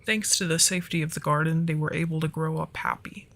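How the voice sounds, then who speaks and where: Performer of unclear sex as a soft, creaky-voiced dragon storyteller. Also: mid-range voice, fairly even intonation, fast talker. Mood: gentle, storytelling. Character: flirty, easily flustered dragon storyteller. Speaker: storyteller in an audio roleplay. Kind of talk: ASMR roleplay, soft storytelling.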